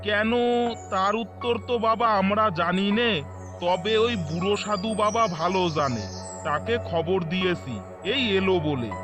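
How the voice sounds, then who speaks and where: Cartoon casting: man as humble old villager, high-pitched, slow, folksy and hesitant, music behind. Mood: wary, obliging. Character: humble elderly village father-in-law, obliging and wary.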